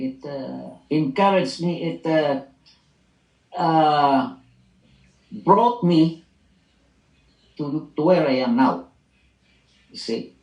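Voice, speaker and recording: A man in his sixties, a doctor and university teacher, giving a product testimonial in a halting way, full of fillers. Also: fairly high pitch, darkish tone, roomy sound, poor audio. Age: 60s.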